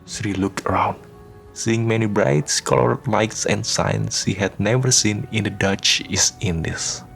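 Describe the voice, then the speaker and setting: Deep, slow, solemn male narrator. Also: gravelly, fairly bright tone. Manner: solemn, measured. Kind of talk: scripted radio drama narration. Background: faint music.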